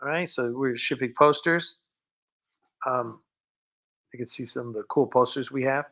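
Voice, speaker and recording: A man's voice: medium pitch, moderate pace, fairly bright tone. A man hosting a fan livestream, chatting casually and answering viewer questions in an informal, rambling way. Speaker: host of a fan film livestream.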